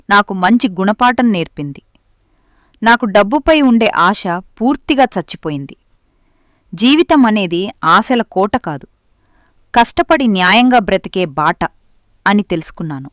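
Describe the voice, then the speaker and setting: A woman acts out a devoted mother who has served her sentence and regrets it. She speaks in an earnest, emotional, imploring way at a middle pitch. The sound is dry, in the manner of a melodramatic cartoon story. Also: expressive, moderate pace, pauses often, dry recording, close to an everyday voice. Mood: repentant. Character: remorseful, doting mother released from prison. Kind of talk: melodramatic Telugu moral-story cartoon voice acting.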